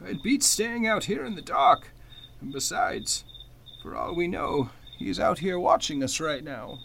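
A man's voice: high-pitched, lively intonation, a little slow, gravelly, fairly bright tone, a little hiss or hum, heavily acted. A man playing an anxious, formal judge in an old-time radio mystery, with a hesitant, dramatic delivery. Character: anxious, formal male judge marked for death.

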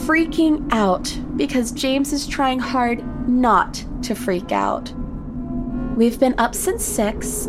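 High-voiced, wry young woman hiker, played by a woman. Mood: frightened. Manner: wry, conversational, sweary delivery. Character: young woman hiker keeping a trail journal, wry, blunt, increasingly rattled. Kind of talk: dramatic first-person horror audio fiction reading. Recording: music bed under the voice.

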